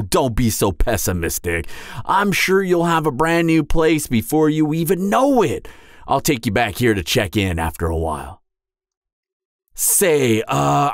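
A man plays a clumsy, kindhearted giant in an audio roleplay. His pitch swoops hugely as he rambles, hesitates and apologizes. The sound is dry and close, with no room around it. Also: no echo. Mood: soothing. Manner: flustered, hesitant, rambling, animated. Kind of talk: roleplay audio drama monologue.

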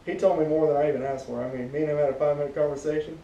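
A man's voice: steady tone, rapid-fire, mellow, highs cut off. A young American man in casual review chat as a co-host, picked up by a camera across a living room. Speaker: co-host of fishing gear reviews. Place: living room at home, indoors. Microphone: camera a few metres away. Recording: distant roomy camera audio, rumbly background noise.

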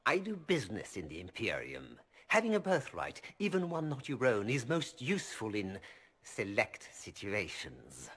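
Scheming merchant, husky, ingratiating, game voice. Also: male voice, fairly low pitch, huge pitch swings, normal speed, fairly bright tone, exaggerated voice. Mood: smug, ingratiating. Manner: smooth, ingratiating, formal. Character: scheming male merchant, oily and polite. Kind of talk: video game voice acting.

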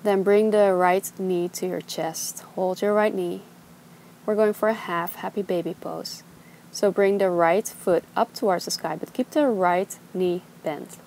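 A young woman teaching a gentle yoga class, giving slow, soothing instructions. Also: on the low side, slight background noise, rough recording. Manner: calm, slow, soothing cues. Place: outdoors on a beach.

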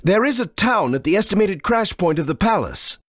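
A woman's voice: medium pitch, lively intonation, normal speed, dry recording, studio quality, natural voice. A woman playing a dutiful military bridge operator in a video game, reporting formally. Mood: alert.

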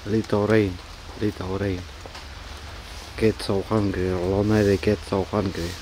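Slow, low-voiced man in rain. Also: level tone, a little mellow, low-quality recording. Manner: repetitive short phrases, simple broken English. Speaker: vlogger feeding a stray cat. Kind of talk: casual narration while filming. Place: outdoors in a park on a rainy day. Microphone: camera-mounted mic, first-person filming. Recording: faint rain noise outdoors.

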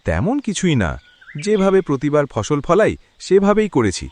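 An actor playing a friendly, hardworking village farmer in a cartoon. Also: male voice, a little high, normal speed, a little hiss or hum. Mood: cheerful. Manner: friendly, animated. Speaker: farmer Faisal. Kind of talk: cartoon voice acting.

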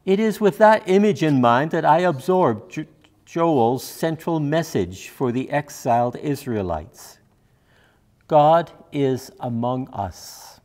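Older man preaching, higher-pitched voice. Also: expressive, slow, a little mellow. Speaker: minister. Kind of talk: sermon, measured delivery. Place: church sanctuary, at a pulpit.

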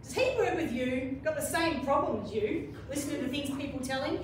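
A woman's voice: high-pitched, expressive, a little fast. A woman playing a blunt, sarcastic Dorothy in an amateur pantomime. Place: community room with seated audience, far camera. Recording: distant, echoey, noisy hall recording with crowd.

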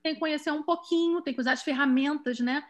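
A woman's voice: high voice, quick pace. A woman hosting and moderating a community video call, introducing speakers with frequent restarts. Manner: hesitant, many restarts and fillers. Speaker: event host and moderator.

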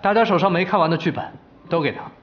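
An actor in his twenties playing a cool, demanding young company boss with a terse, deadpan manner in a TV drama. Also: high voice, fast talker, muffled recording, natural voice. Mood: coolly demanding. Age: 20s. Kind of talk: Mandarin TV romantic comedy drama acting. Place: office interior, TV drama production.

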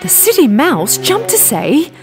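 High, very animated woman storyteller. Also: measured pace. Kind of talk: scripted children's story narration. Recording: music behind the voice.

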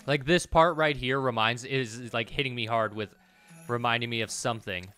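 A man's voice: medium pitch, steady tone, moderate pace, bright, forceful tone, smooth. A man in his thirties giving casual gameplay commentary, rambling and thinking aloud as he plays. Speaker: let's play host. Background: game music.

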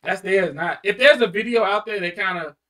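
Young male co-host, high voice, animated and repetitive, slightly distant from the mic. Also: brisk. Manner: animated, repeats himself, says "bro" a lot. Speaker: reaction channel co-host. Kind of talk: casual reaction chat. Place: small indoor room, seated in gaming chair.

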